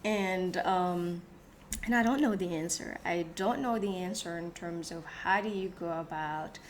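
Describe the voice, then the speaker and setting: A woman's voice: fairly low pitch, unhurried, a little hiss or hum. A woman born in Haiti and raised in the United States, hosting a reflective solo talk, thinking aloud with many restarts and fillers. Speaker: host.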